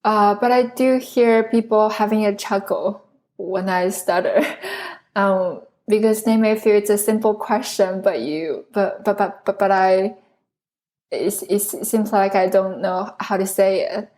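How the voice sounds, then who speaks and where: Slow-spoken woman. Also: mid-range voice, fairly even intonation. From China. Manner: stutters with repetitions, soft thoughtful hedging. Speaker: guest, speech pathology researcher. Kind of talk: conversational interview.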